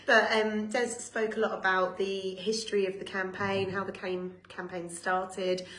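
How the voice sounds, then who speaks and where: A woman's voice: medium pitch, little pitch movement, unhurried, bright, forceful tone. A woman, co-hosting a casual live-stream recap with a wry, chatty manner. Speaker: campaign co-host. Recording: echoey room, faint hiss.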